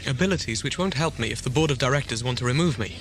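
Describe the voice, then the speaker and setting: An actor playing an embittered robot starship captain in a science fiction audio drama, speaking in a formal, measured, gloomy way. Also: male voice, mid-range voice, background noise, close to an everyday voice. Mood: weary, resigned.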